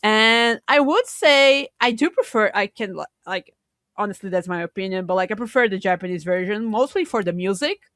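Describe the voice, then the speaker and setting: Woman podcaster, halting delivery, dry close sound. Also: mid-range voice, expressive, a bit bright, no echo. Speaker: podcast co-host. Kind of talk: casual podcast discussion.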